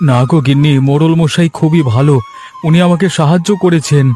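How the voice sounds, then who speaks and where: A man's voice: fairly high pitch, fairly even intonation, a little fast, dark tone, soft background music, natural voice. A man playing a humble, troubled village fish trader in a Bengali cartoon story, speaking in an earnest, plaintive way.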